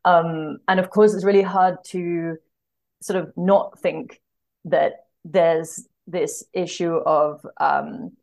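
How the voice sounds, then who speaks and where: A woman's voice: fairly low pitch, steady tone, slow, vocal fry, darkish tone. A woman talking through a book in a casual discussion, as a podcast guest, in a thoughtful, hesitant way that drifts into asides. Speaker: podcast guest, literary translator.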